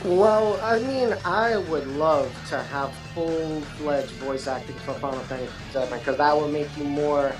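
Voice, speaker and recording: A man's voice: fairly high pitch, unhurried, hardly any pauses, poor audio. A man speaking as a guest in a casual discussion, in an informal, conversational way. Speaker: guest panelist. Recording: voice under clearly audible music. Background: music.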